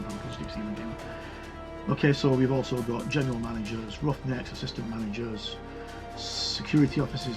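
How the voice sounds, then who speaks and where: A man giving a casual, unscripted review of a tabletop game book, haltingly thinking aloud and correcting himself as he goes. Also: mid-range voice, vocal fry.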